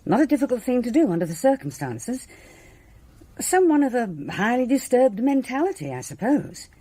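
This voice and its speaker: An actress playing a composed, genteel middle-aged British village hostess in a radio drama, articulate and reserved. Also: female voice, fairly high pitch, sing-song, moderate pace, dark tone, low-quality recording. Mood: grimly composed.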